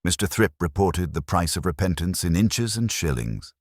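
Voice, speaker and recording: A man's voice: sits low, lively intonation, moderate pace, no room sound, clean studio sound. A man reading literary mystery fiction in a formal, dryly ironic manner. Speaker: narrator and reader. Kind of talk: scripted literary mystery narration.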